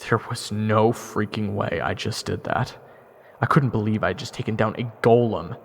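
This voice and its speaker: A man's voice: lowish voice, normal speed, gravelly, a little hiss or hum. A man playing a wry, self-deprecating young soldier narrating his fantasy adventure in first person. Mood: excited, suspenseful.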